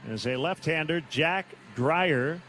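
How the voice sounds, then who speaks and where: High-pitched male baseball play-by-play announcer. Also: lively intonation, slow, a bit bright. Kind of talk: live radio play-by-play commentary. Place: stadium broadcast booth. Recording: noisy stadium radio broadcast.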